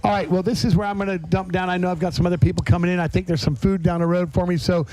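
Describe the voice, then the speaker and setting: Middle-aged man, high-pitched, soft mellow tone, effusive rambling podcast host, casual chat. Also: steady tone, rapid-fire. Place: on location at a garage.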